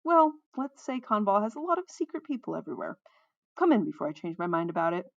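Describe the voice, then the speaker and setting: Lady as sensible healer woman in a story, wry n scolding but tolerant, bouncy pitch, dull audio. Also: very animated, quick pace, creaky, dry recording. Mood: weary, irritated. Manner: sighing, wry, reproving.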